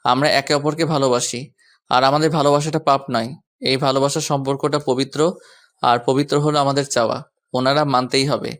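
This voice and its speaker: A man acts as a devoted young lover in an audio romance. His mid-pitched voice stays quite even, firm and sure of himself. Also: a little fast, lightly acted. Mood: reassuring. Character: devoted, confident young male lover, protective and defiant. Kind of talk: romantic audio story acting.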